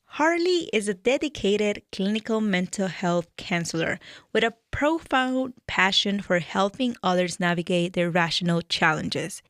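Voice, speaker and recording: A woman from Peru with a Peruvian Spanish accent, a therapist hosting a radio show and reading a prepared introduction in a measured, earnest way. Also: medium pitch, a bit bright, dry recording, studio quality. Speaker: radio show host and mental health therapist.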